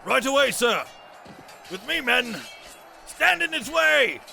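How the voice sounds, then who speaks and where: A man's voice: very high-pitched, very animated, takes it slowly, creaky, faint music behind, full character voice. An actor playing a male officer barking orders to his troops in a fan audio drama. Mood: commanding. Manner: shouted commands.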